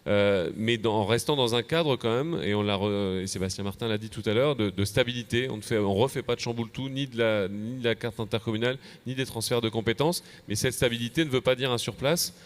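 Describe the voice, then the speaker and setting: A man with a French accent from France gives a semi-prepared conference presentation as an association official, halting and repeating words as he goes. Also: medium pitch. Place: convention plenary session.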